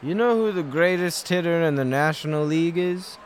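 A man's voice: a little high, a little fast, close to an everyday voice. A man playing a drunken, rambling baseball fan in a radio comedy, boozy and wavering, repeating himself emphatically. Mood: boozy, opinionated. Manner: hesitant, repetitive, emphatic. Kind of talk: radio comedy play acting.

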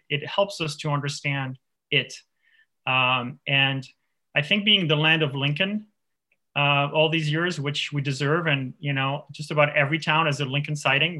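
A man's voice: medium pitch, sharp tone. A man from France, an author, talking in a radio interview in a thoughtful way, with many restarts and fillers. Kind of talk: radio interview, reflective conversation.

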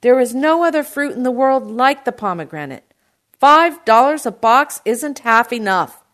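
A woman voicing a proud, stubborn, excitable uncle, a dreamer of a farmer, in a story reading. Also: on the low side, measured pace. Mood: indignant shouting. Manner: shouting indignantly over the phone.

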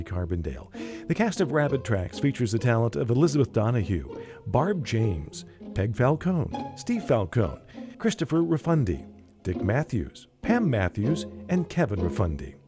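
A man announcing as a radio presenter, reading scripted credits. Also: mid-range voice. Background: music.

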